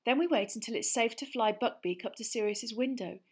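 A clever teenage girl, shaken and anxious, as a woman reads her. She speaks quickly and a little higher. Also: husky. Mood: nervous.

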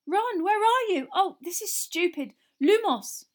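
A woman reading aloud and voicing an anxious, bossy teenage girl witch who speaks quickly and urgently. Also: very high-pitched, lively intonation, pitched above the performer's own voice.

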